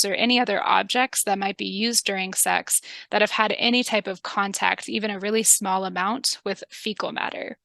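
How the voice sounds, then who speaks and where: A woman with a calm, polite and methodical manner, giving instructions in a scripted public health training video. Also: medium pitch, gravelly, no echo. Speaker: public health interviewer and narrator.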